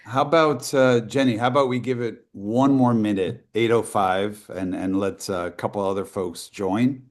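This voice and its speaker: Casting note: man co-hosting a webinar, fairly low, measured. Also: fairly even intonation. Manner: measured, refers to journalism. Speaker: webinar co-host. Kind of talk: casual video call chat. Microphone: video call.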